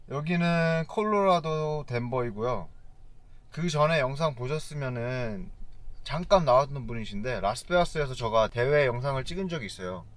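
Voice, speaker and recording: Korean guy hosting a workout vlog, super quick talker, bit of background noise. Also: medium pitch. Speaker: fitness vlog host. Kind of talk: casual vlog presenting and interviewing.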